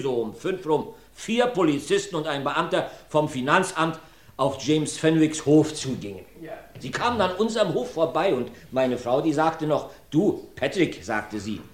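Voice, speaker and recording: An actor playing a talkative rustic farmer who rambles through his testimony in a radio play. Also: fairly high pitch. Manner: folksy, rambling storyteller. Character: rustic male farmer, chatty court witness. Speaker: witness in a courtroom. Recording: roomy studio.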